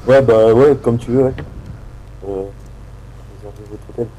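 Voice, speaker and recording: A man's voice: medium pitch, fairly even intonation, moderate pace, mellow, rough recording. A young man in a casual chat on an internet call, rambling and slangy as he talks. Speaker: prank caller's friend. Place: Skype call. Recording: faint background noise on internet call.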